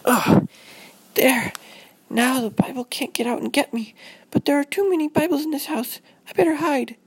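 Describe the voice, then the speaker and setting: A woman's voice: high-pitched, normal speed, pitched above the performer's own voice, close to an everyday voice, low-quality recording. A woman voicing an anxious, literal-minded little girl with a prim, overdramatic manner in a children's story. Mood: frantic. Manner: prim, formal address, overdramatic.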